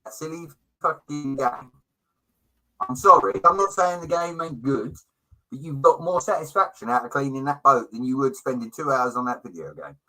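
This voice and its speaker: A man's voice: mid-range voice, lively intonation, moderate pace, pushed and bright, faint music behind, low-quality recording. A middle-aged British man in casual livestream chat, talking in a chummy, opinionated way with slang and swearing. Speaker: livestream co-host.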